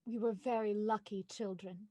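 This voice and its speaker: A woman's voice: mid-range voice, steady tone, normal speed, mellow, no echo, lightly acted. A woman playing an earnest, bereaved young Victorian heiress in a murder mystery audio drama. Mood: hurt questioning.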